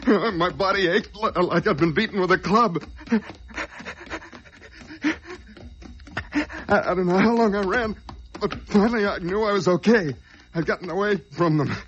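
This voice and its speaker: Desperate small-time loser on the run, noisy recording. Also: male voice, high voice, a little fast, fairly bright tone, muffled recording. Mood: frantic. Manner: stammering, pleading, intense. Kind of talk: old radio crime drama, dialogue and first-person narration. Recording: noisy vintage radio drama recording.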